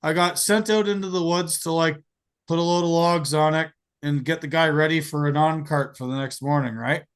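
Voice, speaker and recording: An American man in his forties hosting a podcast, telling stories in a casual, animated way, swearing freely and stumbling over repeated words. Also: on the high side, moderate pace, clean tone. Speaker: podcast host. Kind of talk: casual storytelling chat.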